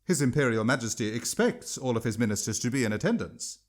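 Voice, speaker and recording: A man's voice: medium pitch, animated, fast talker, natural voice. A man voicing a wary, earnest petitioner in a storybook, polite but resistant. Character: wary, earnest male petitioner posing as minister.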